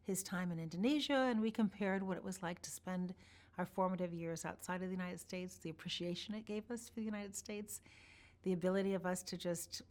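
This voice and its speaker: Woman interviewee, lowish voice, unhurried reflective storyteller. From born in Iran, grew up partly in Chicago. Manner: measured, thoughtful, warm recollection with pauses. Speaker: interview guest.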